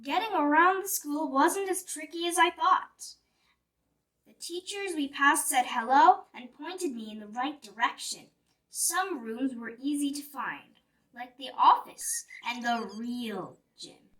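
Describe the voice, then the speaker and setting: A woman reading a picture book aloud for young children in an animated, deliberate storytelling manner. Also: high-pitched. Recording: distant mic with music behind. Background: music.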